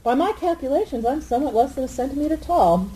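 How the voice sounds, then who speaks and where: A woman playing a brisk, brainy young inventor in comic radio theatre, matter-of-fact and often exasperated. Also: sits high, expressive, dark tone, rough recording. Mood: brisk, analytical.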